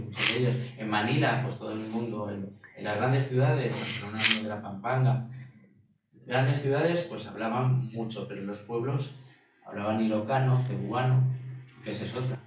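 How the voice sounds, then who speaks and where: A man from Spain telling a history story in a relaxed talk, animated and acting out quoted voices. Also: fairly low pitch. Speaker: guest speaker. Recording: echoey room, distant mic.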